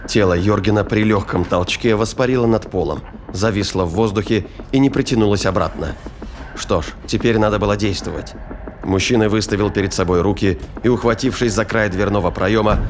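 A man's voice: on the low side, a little fast, a little hiss or hum. A man reading scripted narration for a sci-fi audio drama. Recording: studio reading over prominent music and effects.

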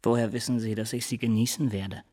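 An actor playing a devoted, dark, quiet killer henchman in a radio crime drama, answering tersely and obediently. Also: on the low side, steady tone, brisk, close to an everyday voice.

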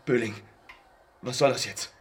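An actor playing an irritable, rough male henchman with grumbling, slangy speech in a radio crime drama. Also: medium pitch, unhurried, a little hiss or hum, lightly acted. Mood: irritated.

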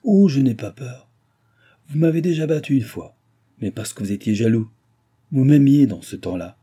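A man reading aloud and voicing a jealous, sharp-tongued young maid who mocks and scolds. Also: medium pitch, lively intonation, moderate pace, husky, voice pushed up. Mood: mocking. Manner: mocking, scornful.

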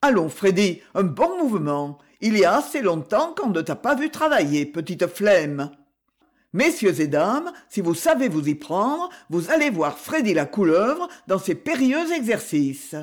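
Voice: medium pitch, very animated, a little slow. A narrator as a jovial, coaxing fat barkeeper. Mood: coaxing, teasing. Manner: coaxing, teasing barker patter. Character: fat, jovial bar owner playing showman.

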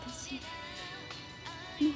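A woman's voice: extremely slow, over music. An actress playing a fragile, frightened young woman in a radio drama, speaking anxiously and hesitantly. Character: fragile, frightened young woman doubting her own identity.

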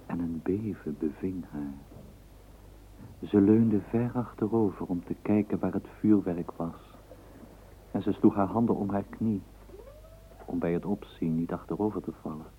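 A man narrating a literary radio play in a measured storytelling manner, on a thin and noisy recording. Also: low-pitched, narrow, tinny audio. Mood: measured, lyrical.

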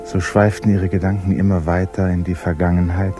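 An actor narrating a 1960s radio play in a measured, literary manner. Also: male voice, low-pitched, flat delivery, natural voice. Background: music.

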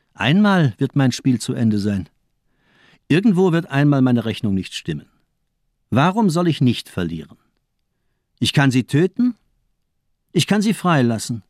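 Casting: radio drama villain, a zealous, mocking doctor; animated, swooping delivery, insistent and rhetorical, close dry sound. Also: male voice, medium pitch, no echo.

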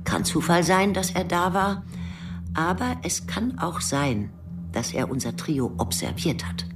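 An actress playing a secretive intelligence officer, terse and matter-of-fact, in a radio drama. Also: female voice, on the low side, lively intonation, a little slow, distant mic, over music. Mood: wary. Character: secretive female intelligence officer briefing a colleague. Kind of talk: radio drama acting, low dialogue.

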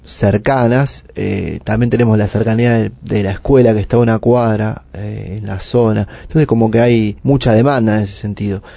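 Gravelly, mellow Argentine man explaining haltingly. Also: lowish voice, fairly even intonation, dry recording. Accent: Argentine, Buenos Aires area. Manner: halting, explanatory, filler-heavy. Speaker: community organizer being interviewed. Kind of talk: informal spoken interview answers.

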